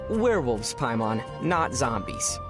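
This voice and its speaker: A man playing a young traveler hero in a video game, calm and measured, with a wry, teasing manner. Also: mid-range voice. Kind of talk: English video game voice acting. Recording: music behind the voice. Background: music.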